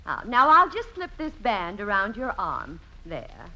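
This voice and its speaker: A woman playing a brisk, matter-of-fact nurse in a 1950s radio comedy, putting questions in a businesslike way. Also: mid-range voice, wildly swooping pitch, sharp tone, exaggerated voice, poor audio. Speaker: supporting actor. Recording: 1950s radio recording, faint noise.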